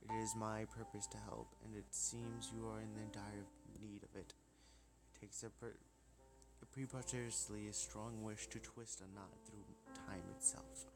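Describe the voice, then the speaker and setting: A man voicing an old, feeble flower spirit from a visual novel, speaking in slow, theatrical riddles. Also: lowish voice, animated. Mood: solemn. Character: old feeble talking flower wish, cryptic and ominous. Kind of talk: visual novel character reading. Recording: music playing behind the voice.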